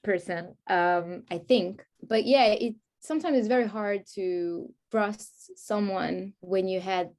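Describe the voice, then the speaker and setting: A woman's voice: mid-range voice, slow pace, hardly any pauses, darkish tone. Woman, casual and searching. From France (grew up in Paris), born in Israel. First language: Hebrew. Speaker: guest, music artist. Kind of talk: casual conversational interview.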